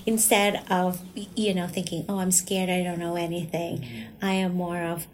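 A woman's voice: on the low side, level tone, normal speed, a little hiss or hum. A middle-aged woman giving conversational interview answers as a nonprofit executive.

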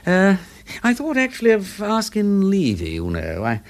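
An actor playing an affable, rambling aristocratic English gentleman detective who feigns silliness, chatty and self-deprecating in a period radio drama. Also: sits high, huge pitch swings, normal speed, full character voice. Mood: breezy, rambling. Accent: upper-class English.

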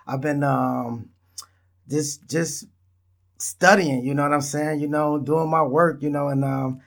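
A man's voice: a little high, steady tone, unhurried, fairly bright tone. A man in his thirties from Israel, hosting his own podcast in a laid-back, rambling monologue that talks straight to listeners. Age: 30s. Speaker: podcast host. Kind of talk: casual solo podcast monologue.

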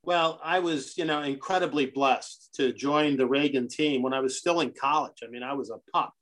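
Middle-aged man from Brazil, earnest, measured, podcast guest, mid-range pitch. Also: fairly bright tone. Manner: earnest, measured, speaks of his convictions. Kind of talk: conversational interview.

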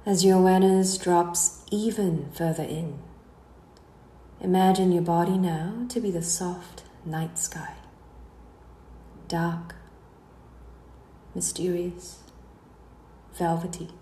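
A woman leads a guided relaxation in a dark, mellow voice. She speaks unhurriedly and soothingly, letting phrases trail off at the end. The recording has a noticeably roomy echo with a faint layer of noise behind her. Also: fairly low pitch, steady tone, slow, pauses often. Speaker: guided meditation instructor. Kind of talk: slow guided relaxation narration.

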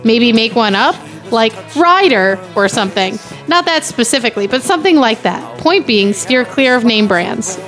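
A woman's voice: fairly high pitch, expressive, moderate pace, hardly any pauses, fairly bright tone, soft background music. A woman guest on a podcast, bantering casually and reading out script directions.

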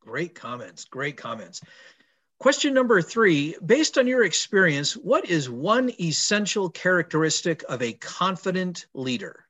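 A male podcast host asks questions and praises each answer his guest gives. His voice sits a bit high and moves with lively, animated pitch. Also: unhurried. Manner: encouraging, affirming interviewer. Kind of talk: podcast interview hosting.

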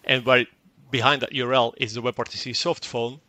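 A man's voice: mid-range voice, level tone, fairly quick, bright, forceful tone, no echo. A man whose first language is Dutch, explaining a product in an interview and often repeating words as he goes. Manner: fluent but repeats words and restarts, acts out short quoted exchanges. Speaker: tech company guest.

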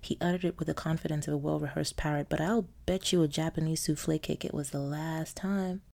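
A woman from Nigeria hosts her own podcast, telling a scripted story in a low, mellow voice. Her tone is wry and sardonic, with theatrical emphasis and soft laughs slipping in. A gravelly edge runs through her speech. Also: fairly even intonation, a little fast. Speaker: podcast host.

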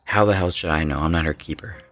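An actor playing a hostile, dismissive rock band member in an audio drama, crude and evasive, with a sneering, slangy delivery. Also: male voice, very deep voice, fast, a bit bright, faint music behind. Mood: surly.

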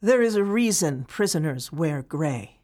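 An actress playing a weary woman prisoner, delivering a slow, brooding poetic monologue. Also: low voice, expressive, a little mellow. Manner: slow, brooding, lingering on each image. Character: weary female prisoner musing on gray.

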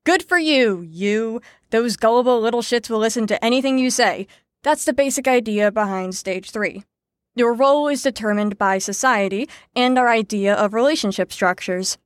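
An actress playing a brisk, sarcastic teacher in an audio drama, lecturing in an articulate, wry way. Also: female voice, on the high side, no echo, clean studio sound, lightly acted. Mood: wry, impatient. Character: brisk, sarcastic female teacher explaining psychology theories. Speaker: lecturer leading a group lesson.

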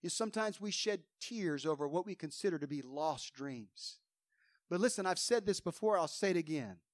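A man preaching with fervor, his voice pitched high for a man and slightly hoarse. He builds emphasis as he quotes scripture. The sound is dry and close, with no room echo. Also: animated, moderate pace. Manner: fervent preaching, quoting scripture with rising emphasis. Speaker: pastor. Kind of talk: sermon.

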